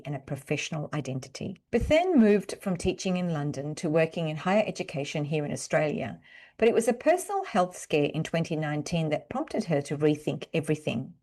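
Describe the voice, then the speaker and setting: A woman's voice: lowish voice, darkish tone. A woman hosting an interview podcast, introducing and questioning a guest. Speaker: podcast host.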